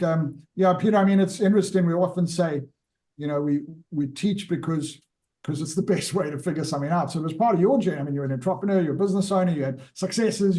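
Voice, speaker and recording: A man's voice: on the high side, mellow. A man hosting an interview, enthusiastic and rambling, with lots of restarts. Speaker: interview host.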